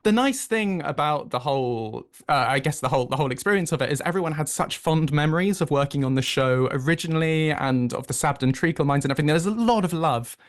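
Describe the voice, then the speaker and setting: A man's voice: on the high side, expressive, fast, hardly any pauses. A British man speaking enthusiastically and effusively as a guest in a conversational radio interview. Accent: British English. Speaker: interview guest, audio drama producer.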